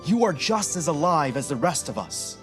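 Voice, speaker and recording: Woman as animated, earnest philosopher companion. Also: low voice, normal speed. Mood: gentle, earnest. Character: Aristotle, earnest philosophical companion, wide-eyed and loyal. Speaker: actor in a live stage audio drama. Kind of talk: live sci-fi radio play acting. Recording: live stage play with music bed.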